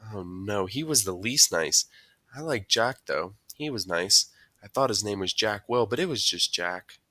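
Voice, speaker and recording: A man's voice: fairly low pitch, expressive, unhurried, sharp tone, dry recording, clean studio sound, exaggerated voice. A man voicing a shy, sensitive young schoolboy in an audiobook, hesitant, earnest and understated. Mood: hesitant, downplaying. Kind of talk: audiobook character dialogue.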